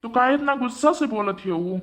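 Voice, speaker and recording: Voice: mid-range voice, animated, a little fast, fairly bright tone, close to an everyday voice. A performer voicing a young married man, dutiful to his mother, with an Awadhi accent in a family cartoon. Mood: hungry, questioning. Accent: Awadhi, eastern Uttar Pradesh.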